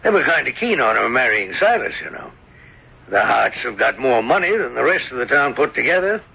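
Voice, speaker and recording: An actor playing a folksy, grumbling farmer with a gravelly voice and a rustic, gossipy manner in an old radio drama. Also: male voice, mid-range voice, very animated, a little fast, sharp tone, full character voice. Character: folksy, grumbling male farmer, father of grown son. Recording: dull, muffled old radio recording.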